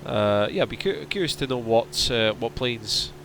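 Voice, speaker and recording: A man in the middle of his pitch range talks through a relaxed livestream, answering viewers as he goes. His voice is straining and turning hoarse from fatigue. A faint hum sits under the voice. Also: normal speed. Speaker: flight sim streamer. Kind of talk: casual livestream chat.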